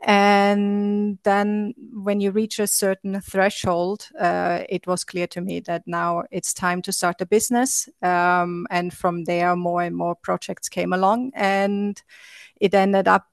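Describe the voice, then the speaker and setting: A woman's voice: mid-range voice, little pitch movement, slow pace, creaky, no echo. A woman from Austria, a guest entrepreneur answering interview questions in a hesitant way, with many fillers and restarts.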